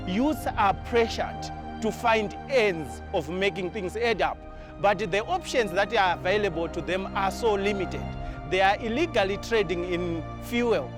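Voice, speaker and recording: High-voiced Zimbabwean man, music behind. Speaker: project team member. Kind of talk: interview explanation. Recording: music under the voice.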